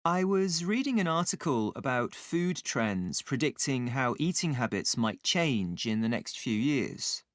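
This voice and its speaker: A man playing an inquisitive student in a scripted, conversational discussion, with clear phrasing. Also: medium pitch, sing-song, measured pace, few pauses, fairly bright tone, no echo, clean studio sound. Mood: earnest. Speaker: voice actor in a listening exam dialogue.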